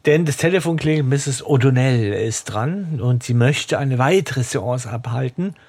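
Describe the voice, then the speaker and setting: A man's voice: mid-range voice, animated, measured pace, a little mellow, breathy edge. A man co-hosting a fan podcast who discusses a radio-play case in casual, joking chat, musing aloud and voicing the questions a listener would ask. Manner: playful, joking musings with acted-out inner questions. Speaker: podcast co-host.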